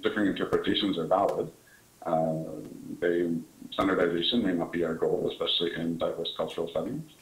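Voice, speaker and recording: A man in his thirties from Canada presenting his research over a webcam video call, pausing often with uh and um. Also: low voice, low-quality recording. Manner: halting, many uh and um fillers. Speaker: academic presenter.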